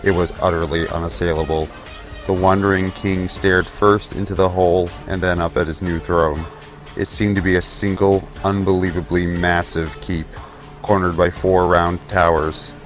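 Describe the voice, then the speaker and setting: A man's voice: low voice, little pitch movement, normal speed. A man narrating a scripted fantasy tale as the storyteller of an audio drama. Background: faint music.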